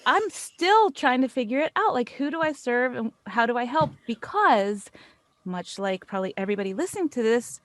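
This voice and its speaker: An American woman chatting casually as a podcast guest, telling anecdotes in an animated, self-deprecating way. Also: fairly high pitch, normal speed, no echo. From United States. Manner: animated storyteller, self-deprecating, acts out quotes. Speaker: podcast guest, voice actor and business owner.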